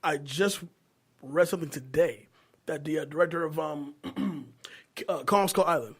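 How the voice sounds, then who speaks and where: An American man with a fairly high-pitched voice talks casually as a podcast panelist. His delivery is animated and full of slang, in the manner of a relaxed chat among friends. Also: normal speed, slightly rough. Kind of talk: casual chat about movies.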